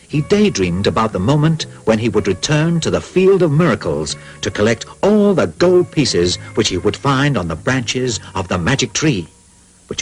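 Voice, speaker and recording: A man narrating a cartoon fairy tale in a scripted storybook style. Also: medium pitch.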